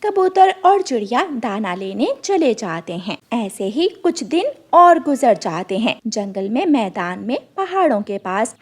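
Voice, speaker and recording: A woman's voice: a little high, slight background noise. A woman narrating a scripted children's story.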